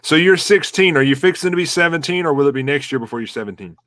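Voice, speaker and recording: A man's voice: fairly high pitch, lively intonation, quick pace, a bit bright, no room sound, clean studio sound. A man hosting a casual interview, friendly and encouraging, asking open questions. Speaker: host.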